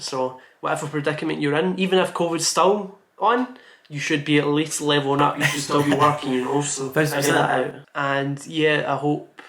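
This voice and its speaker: Young dude vlogging about his birthday, earnest and rambly, kinda flat and gravelly. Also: on the high side, echoey room. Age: young adult, about 18 to 19. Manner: earnest and reflective, rambling with many "like"s. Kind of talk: earnest self-reflective birthday vlog. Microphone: camera mic.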